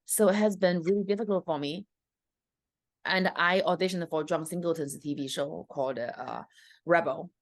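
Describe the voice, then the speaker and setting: Low-voiced woman with a Chinese accent. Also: moderate pace, no room sound. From China. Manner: animated storyteller with many fillers. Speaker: stand-up comedian guest. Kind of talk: interview storytelling.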